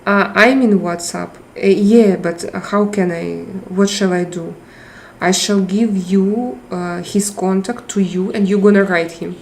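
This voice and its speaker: This is a middle-aged woman with a Russian accent talking to her live-stream viewers. She talks slowly and stops often to restart or hunt for a word. A faint hiss sits under her voice from the tablet mic. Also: medium pitch, fairly even intonation, a little mellow. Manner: halting, searching for words with many restarts and fillers. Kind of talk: casual live-stream chat. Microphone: tablet microphone.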